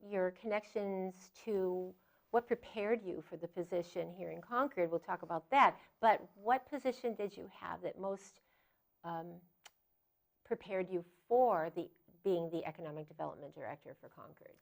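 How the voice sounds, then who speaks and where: A woman hosting a community TV interview show, encouraging her guest with easy, warm questions. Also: on the low side, normal speed, gravelly. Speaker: talk show host.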